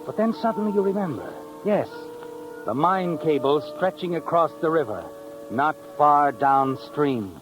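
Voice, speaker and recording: American 1950s radio suspense storyteller, higher-pitched, mocking, pausing for drama, heavy music underneath. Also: male voice, lively intonation, poor audio. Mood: taunting, tense. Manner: taunting second-person address with dramatic pauses. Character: mocking, ominous male storyteller of a crime thriller. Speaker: narrator.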